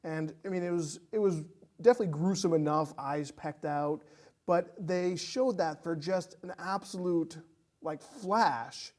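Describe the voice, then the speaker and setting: A man's voice: fairly high pitch, measured pace, darkish tone. A man co-hosting a casual film discussion, feeling his way through thoughts with repeated phrases. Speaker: podcast co-host.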